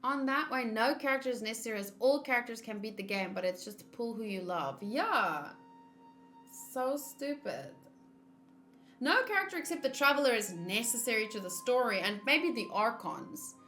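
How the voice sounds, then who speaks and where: Higher-voiced woman chatting casually on stream. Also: sing-song, moderate pace, a bit bright, soft background music. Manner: informal, chatty, reacting aloud. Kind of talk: casual livestream chat while gaming.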